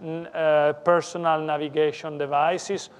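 A man with a strong Italian accent gives a technical lecture in English. He talks in a fairly high voice, stops often, and corrects his words as he goes. He is speaking in a lecture room. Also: level tone, clear, resonant tone. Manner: halting, self-correcting non-native English. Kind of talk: technical conference talk.

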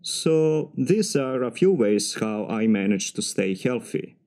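A man with a Serbian accent giving formal, measured practice answers for a timed speaking exam. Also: medium pitch, expressive, dark tone. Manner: formal, measured, slightly stiff phrasing. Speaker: mechanical engineer practicing test answers.

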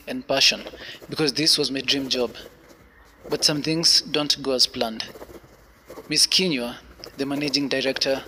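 A man's voice: mid-range voice, bright, forceful tone, a little hiss or hum, poor audio. A man with a Kenyan accent playing a harassed young media intern in a radio drama.

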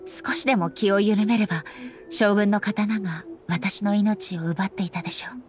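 An actress playing a solemn, composed female ruler in an anime game, speaking formally and earnestly with measured politeness. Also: mid-range voice, fairly even intonation, faint music behind, natural voice. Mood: solemn, reflective. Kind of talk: scripted anime game dialogue.

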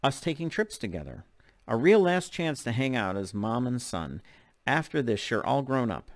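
A man's voice: medium pitch, very animated, moderate pace, dry recording. A man reading the part of a teasing, affectionate single mother in a novel. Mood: wistful.

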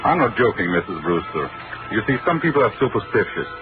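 An actor playing a polite, formal ship's doctor in an old radio drama, speaking in a soothing, courteous way. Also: male voice, on the low side, sing-song, brisk. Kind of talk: 1940s radio acting. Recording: tinny old radio recording with music.

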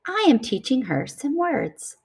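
A woman reading a picture book aloud to children in an expressive, deliberate storytelling style. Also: high-pitched, breathy edge.

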